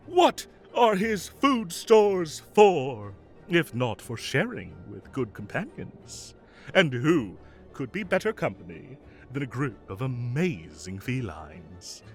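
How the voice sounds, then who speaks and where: A man's voice: fairly high pitch, fairly bright tone, exaggerated voice. A man playing a whimsical fae king of summer in an audio roleplay, theatrical, playful and grand, with mock indignation and doting fondness for his cats. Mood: mock outrage.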